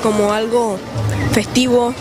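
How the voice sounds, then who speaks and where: A man's voice: extremely high pitch, flat delivery, measured pace. A teenage boy answering hesitantly in a street interview. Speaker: passerby interviewee. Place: busy city street outdoors. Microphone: handheld mic. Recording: crowd and music behind.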